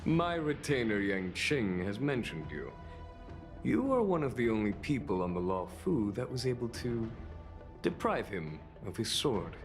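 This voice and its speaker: An actor playing a composed, courteous military general who speaks in a measured, dryly amused way. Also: male voice, lowish voice, big pitch swings, faint music behind. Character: calm, composed male general, courteous and wry. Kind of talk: game cutscene voice acting.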